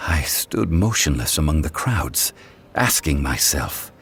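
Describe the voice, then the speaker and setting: A man voicing a dignified ancient god in human form for a video game, formal and measured. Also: very deep voice, sing-song, fairly bright tone, full character voice. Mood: reflective. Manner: formal, measured, archaic wording. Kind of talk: video game character dialogue. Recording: faint music behind the voice.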